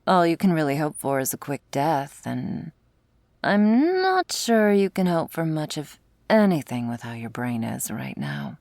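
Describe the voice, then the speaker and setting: A woman playing a sardonic, predatory vampire with a secret wistful side, in an intimate close-miked ASMR roleplay, wry and teasing, sometimes slipping into a breathy whisper. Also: sits low, huge pitch swings, a little slow, a little mellow, no echo, heavily acted. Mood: resigned, teasing. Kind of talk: intimate ASMR roleplay, scripted monologue to listener. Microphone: close, dry studio mic.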